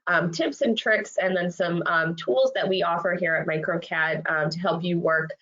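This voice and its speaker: A woman with a low voice, congested from a cold, walks an online audience through software tips. She talks in a friendly way and often pauses on fillers. Her voice comes over a dull, lo-fi web call. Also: fairly even intonation. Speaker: trainer. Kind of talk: software training webinar.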